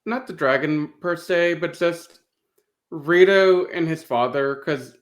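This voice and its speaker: Male co-host, a bit higher in register, informal conversational fan discussion. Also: slow pace. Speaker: podcast co-host.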